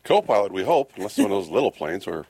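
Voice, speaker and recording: A man's voice: fairly low pitch, big pitch swings, rapid-fire, darkish tone, slightly rough. A man hosting a morning radio show, chatting loosely with jokes and rambling asides. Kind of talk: casual morning show banter. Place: radio studio.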